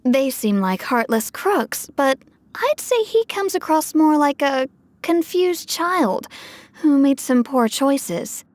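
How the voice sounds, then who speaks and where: Very high-voiced, gentle anxious mother. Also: big pitch swings, slow, full character voice. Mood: thoughtful. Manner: earnest, emotional, polite. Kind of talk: video game voice acting.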